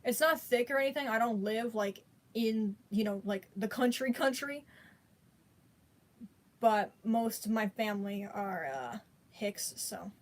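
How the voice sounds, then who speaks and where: A woman's voice: on the high side, measured pace. A woman chatting casually to her viewers, rambling with many fillers and restarts. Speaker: vlogger.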